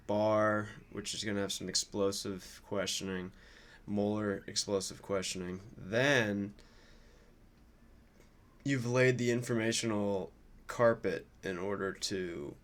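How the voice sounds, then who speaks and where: A man giving informal, off-the-cuff political commentary in a casual, musing way, like a streamer thinking out loud. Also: lowish voice.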